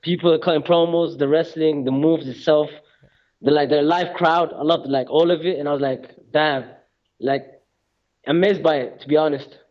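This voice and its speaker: A man's voice: fairly high pitch, fairly even intonation, normal speed. A young man, a guest chatting casually and rambling with lots of fillers. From England. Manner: rambling, hesitant, many fillers and restarts. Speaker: guest, wrestler. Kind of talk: casual interview chat. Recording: dull lo-fi sound.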